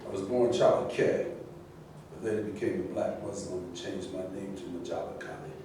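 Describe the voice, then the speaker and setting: A man's voice: low-pitched, steady tone, normal speed, warm tone, natural voice. A man playing a proud, scornful heavyweight boxing legend in a staged reading. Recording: echoey room, far mic, faint noise.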